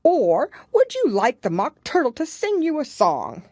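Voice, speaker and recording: A woman's voice: sits high, wildly swooping pitch, a little slow, darkish tone, no room sound, clean studio sound, voice pushed up, full character voice. A woman voicing a brusque, impatient, bossy gryphon in an audiobook, with hasty exclamations. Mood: brisk. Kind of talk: audiobook character voice.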